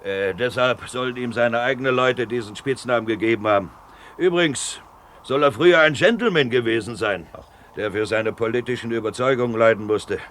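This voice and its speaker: Guy doing a grizzled old sea captain in a radio play, hoarse, blustery, spinning salty yarns. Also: mid-range voice, brisk, a bit bright, a little hiss or hum, natural voice. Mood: storytelling. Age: older. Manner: bawling, emphatic, salty storytelling. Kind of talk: 1970s German radio drama acting.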